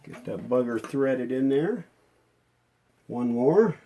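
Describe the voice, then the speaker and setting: Mellow-voiced man chatting through a repair. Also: mid-range voice, expressive, slow pace, a little hiss or hum. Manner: folksy, chatty, thinking aloud while working. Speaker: hobbyist restorer presenting a repair. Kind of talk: casual hands-on workshop narration.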